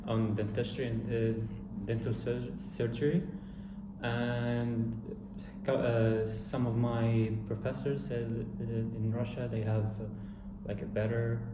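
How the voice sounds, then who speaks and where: A young man from Syria talks through his answers in a loose, rambling way, full of fillers and restarts. His voice sits at an ordinary pitch. The room rings with echo, and a steady background noise is audible. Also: slow pace. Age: young adult. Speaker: interviewed student. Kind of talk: casual interview answers. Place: indoor studio room with a cartoon backdrop. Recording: echoey room with noisy background.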